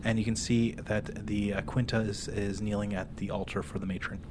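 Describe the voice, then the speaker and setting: A man's voice: on the low side, level tone, brisk, background noise. A man narrating a tabletop role-playing game as game master.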